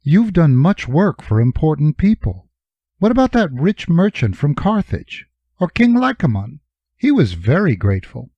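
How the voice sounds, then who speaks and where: A man voicing a fretful pirate-merchant, pitched up. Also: very animated, moderate pace, no room sound, highs cut off. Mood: coaxing. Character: male Phoenician pirate-merchant, haggling and fidgety.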